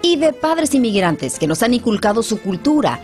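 A woman reporter narrating a news feature in a lively, expressive scripted voice-over. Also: on the high side, moderate pace, soft background music.

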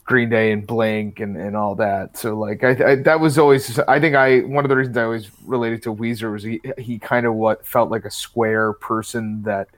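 Um homem convidado de um podcast, falando de forma descontraída e autodepreciativa. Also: male voice, lowish voice, steady tone, moderate pace, few pauses. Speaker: podcast guest. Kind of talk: casual podcast chat.

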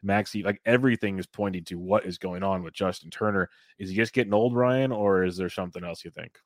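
A man's voice: low voice, quick pace, no echo, studio quality. A man in his thirties co-hosting a podcast, chatting easily about baseball players and putting questions to his partner. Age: 30s. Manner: easygoing, chatty, leads topics with questions. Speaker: podcast co-host. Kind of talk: casual fantasy baseball chat.

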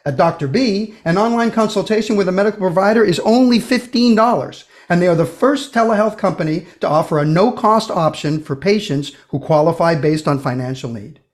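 Male podcast co-host with a high-pitched voice, scripted presenting style. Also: expressive, fairly quick, hardly any pauses. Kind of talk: scripted podcast introduction and questions.

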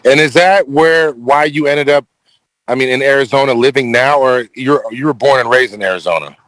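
A man's voice: mid-range voice, normal speed, a bit bright, no echo, low-quality recording. A man with a mid-range voice co-hosts a podcast, feeling his way into each question and repeating words as he goes. His voice is recorded dry and close. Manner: hesitant, repeats words while framing questions. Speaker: podcast co-host. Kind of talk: casual interview questions.

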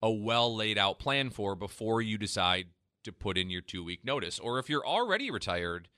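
A man hosting a retirement finance radio show, pitching a free tax analysis and explaining when to claim benefits. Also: animated, moderate pace, sharp tone, no echo, studio quality. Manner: persuasive sales pitch, then explanatory. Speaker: financial advisor radio host.

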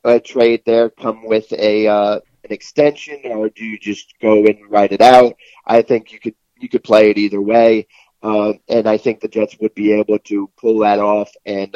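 A man giving casual sports analysis as a guest, in a halting way with repeated words, on a muffled recording. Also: lowish voice, dark tone, dry recording. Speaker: guest football reporter. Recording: muffled, lo-fi call sound.

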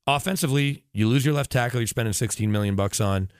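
A man's voice: mid-range voice, fast talker, clear, resonant tone, no echo, studio quality. A man talking as a sports radio analyst in casual conversation, opinionated and emphatic, often digressing as he makes his points.

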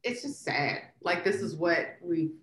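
A woman's voice: lowish voice, lively intonation, a little slow, fairly bright tone, reverberant, slight background noise. A woman comedian chatting casually as a podcast guest, laughing often and talking with lots of fillers.

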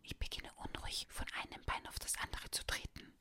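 A woman reading a children's story aloud in a soft, unvoiced whisper. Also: a little fast.

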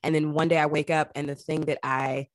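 Woman podcast host, low pitch, close dry sound, expressive, self-deprecating, casual interviewing. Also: fairly quick, no echo. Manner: expressive, self-deprecating, acts out quoted thoughts.

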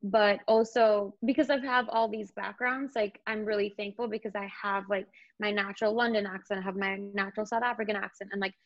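A woman's voice: medium pitch, steady tone, fairly quick, few pauses, dry recording. Chatty young woman, slightly muffled. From India. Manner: chatty, fillers like "like". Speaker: actor guest. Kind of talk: casual chat. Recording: slightly dull, lo-fi sound.

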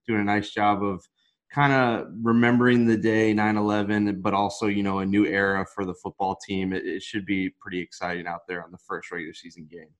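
A man's voice: lowish voice, little pitch movement, fairly quick. A man hosting a casual sports talk show, upbeat and steering the conversation with questions. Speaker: podcast host.

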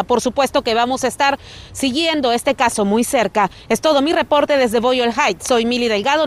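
A woman giving a scripted television news report as a field reporter. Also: high-pitched.

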